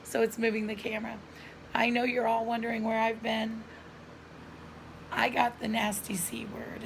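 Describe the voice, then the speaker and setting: A middle-aged woman with a hoarse voice and frequent coughs, telling viewers a personal story in an earnest, chatty way, recorded at home on a camera mic. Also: on the high side, little pitch movement, normal speed, slight background noise, poor audio. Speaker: lifestyle vlogger.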